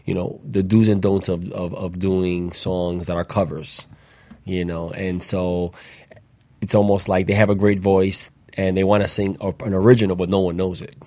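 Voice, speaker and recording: A man's voice: low voice, little pitch movement, moderate pace, warm tone. A man co-hosting a casual group discussion, often repeating words as he explains his point. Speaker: talk show co-host.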